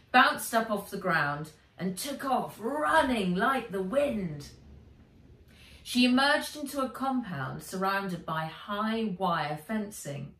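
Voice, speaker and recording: A middle-aged woman reading an adventure story for children aloud, with expressive, dramatic, emphatic delivery. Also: medium pitch, far from the mic. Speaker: author reading her own book aloud. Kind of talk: dramatic audiobook-style narration for children. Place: indoors, bright room with armchair. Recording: roomy, echoey, away from mic.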